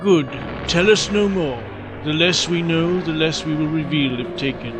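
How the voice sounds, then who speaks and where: An actor playing a grave, steady elder councillor in an audio drama, speaking in a measured, formal way. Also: male voice, a little high, close to an everyday voice. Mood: grave, consoling. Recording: loud music under the voice.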